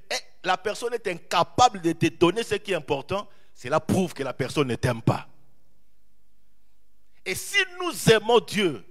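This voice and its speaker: Animated preaching man, lively pitch. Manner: animated preaching, repeats phrases, draws out key words. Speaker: pastor. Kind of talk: sermon.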